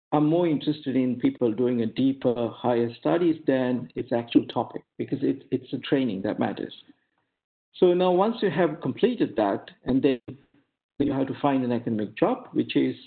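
Male academic lecturer, Sri Lankan accent, Sinhala native, gravelly creak, halting explanatory delivery, thin phone-like online audio. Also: medium pitch, animated. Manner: halting, often checks with "okay?" and "right?". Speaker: academic giving a career talk. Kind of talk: informal lecture over slides.

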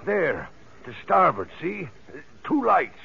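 An actor playing a seasoned, uneasy and bewildered sailor in a 1940s radio horror drama. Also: male voice, high-pitched, sing-song, slow pace, creaky, bright, forceful tone, husky, exaggerated voice. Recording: muffled noisy 1940s radio recording.

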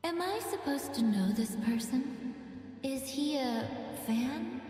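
Slow, reverberant young mage musician. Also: female voice, high voice, expressive, a little mellow, faint music behind. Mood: puzzled. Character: gentle, thoughtful young woman musician mage with quiet sass. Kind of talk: video game character voice lines. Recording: echoing, long reverb game audio.

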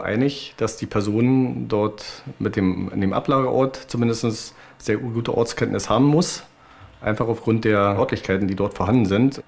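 A man, a police investigator, speaking in a deliberate, official manner in an interview clip. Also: mid-range voice, vocal fry, darkish tone.

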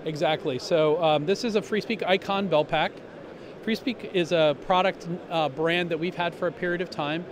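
A man's voice: fairly high pitch, fairly even intonation, normal speed. A middle-aged man explaining a product in an upbeat way at a trade show booth, talking into a handheld mic, with lots of fillers and restarts. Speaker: company representative. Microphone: handheld interviewer mic. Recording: noisy trade show hall.

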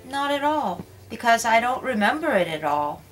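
A tiny, wistful mermaid, put on by a woman reading a storybook. She talks in a soft, lilting way with a dreamy lift, sitting a little higher than an everyday voice. Faint music plays behind her. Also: big pitch swings, moderate pace.

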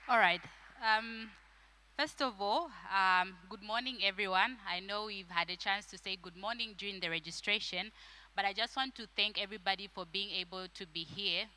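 A woman's voice: mid-range voice, moderate pace, bright, forceful tone, rough recording. A woman giving a friendly welcome speech on a conference stage into a handheld mic. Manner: friendly, with ums. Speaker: regional manager hosting an event. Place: stage in a large conference hall.

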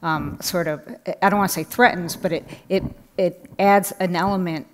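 Woman, 50s, patient advocate; deep for her sex, halting, personal. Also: fairly even intonation, a little hiss or hum. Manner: personal, searching for words. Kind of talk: panel discussion. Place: indoor conference stage. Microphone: headset mic.

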